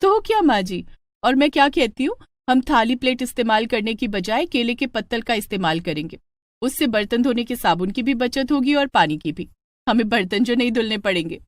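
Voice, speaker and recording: A woman voicing a young, spirited new daughter-in-law in a cartoon story, expressive, exasperated and insistent. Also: on the high side, moderate pace, dry recording, clean studio sound, lightly acted. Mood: sweetly insistent.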